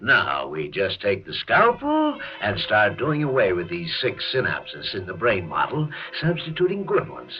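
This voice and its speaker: A dull old radio recording with faint music carries an elderly, zealous doctor. He is theatrical and excitable, self-important, and his pitch leaps and drops dramatically as in a 1950s science fiction play. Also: a little slow, hardly any pauses, heavily acted. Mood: gleeful zeal. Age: older. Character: aging, zealous mad doctor, gleeful and self-important, easily panicked.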